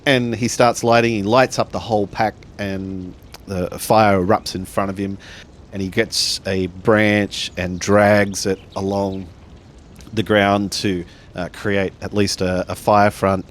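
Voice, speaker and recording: A man narrating a tabletop roleplaying game in a halting way, building his sentences bit by bit. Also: lowish voice, little pitch movement, a little hiss or hum. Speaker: tabletop RPG player.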